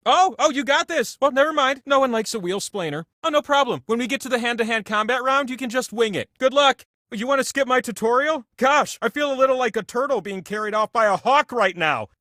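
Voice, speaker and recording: A man's voice: very high-pitched, brisk, hardly any pauses, bright, forceful tone, dry recording, clean studio sound. A man voicing a giant talking wheel, a goofy and pompous video game show host who is theatrical, chatty and playful. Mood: playful teasing.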